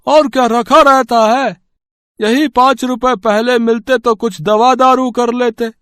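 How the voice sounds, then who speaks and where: A man playing a wheedling, callous old village labourer in an audio story, with drawn-out, plaintive rustic speech. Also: extremely high pitch, steady tone, fairly bright tone, smooth, dry recording. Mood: complaining. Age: older. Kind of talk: acted Hindi audio story dialogue.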